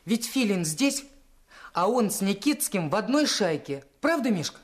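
A man's voice: high-pitched, big pitch swings, moderate pace. Brash, eager treasure-hungry boy. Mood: impatient. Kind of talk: Russian radio play acting.

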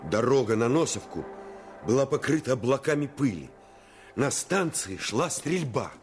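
A measured storyteller in a radio drama, with a mid-pitched voice over clear music. He tells a boyhood adventure in a literary, first-person style. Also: big pitch swings. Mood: tense, dramatic. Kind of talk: scripted narration, radio play.

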